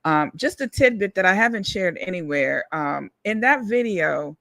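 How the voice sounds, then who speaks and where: A woman with a slightly raspy voice, speaking earnestly and encouragingly as a singer being interviewed in a heartfelt conversation. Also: on the low side, expressive, normal speed, fairly bright tone, no room sound. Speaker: guest singer and songwriter.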